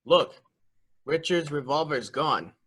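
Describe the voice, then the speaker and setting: A man's voice: on the high side, animated, slow, fairly bright tone, breathy edge, full character voice. A man acting an anxious, fretful member of a mansion household in a murder mystery reading. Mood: uneasy.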